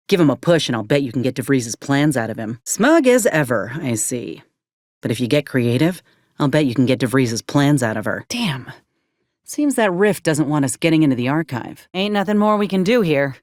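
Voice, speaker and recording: Game companion: tough female ex-medic soldier, US drawl, dry sarcasm, animated pitch, close dry sound. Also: no echo. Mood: wry, dismissive, then matter-of-fact, wary. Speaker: companion character. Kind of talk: video game voice acting.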